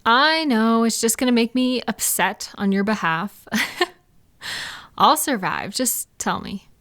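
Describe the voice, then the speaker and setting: A woman's voice: on the high side, takes it slowly. Caring, playful girlfriend played by a woman. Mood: protective, concerned. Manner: soft-hearted, reassuring, playful, affectionate. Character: caring, gentle, chatty girlfriend comforting a night-owl partner. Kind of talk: intimate roleplay audio, one-sided conversation.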